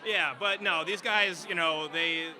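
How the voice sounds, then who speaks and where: A man's voice: sits high, steady tone, measured pace, pushed and bright. A man giving a rambling, informal, enthusiastic interview as a creative guest. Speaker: radio drama writer and director being interviewed. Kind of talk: casual interview chat. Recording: busy crowd chatter behind the voice.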